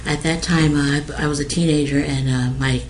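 A middle-aged woman from American Samoa, raised in Hawaii, answering interview questions hesitantly with many pauses and fillers. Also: sits low, fairly even intonation, noisy background. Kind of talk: oral history interview.

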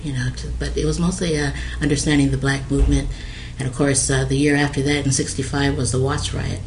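A middle-aged woman from American Samoa, raised in Hawaii, answering interview questions hesitantly with many pauses and fillers. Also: a bass voice, little pitch movement, a little mellow, slight background noise, poor audio. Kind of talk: oral history interview.

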